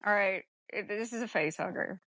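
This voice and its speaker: A woman's voice: mid-range voice, moderate pace, a bit bright, no echo. Blunt woman chatting casually, close dry sound. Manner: blunt, salty with mild swearing. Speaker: podcast co-host. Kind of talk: casual film discussion.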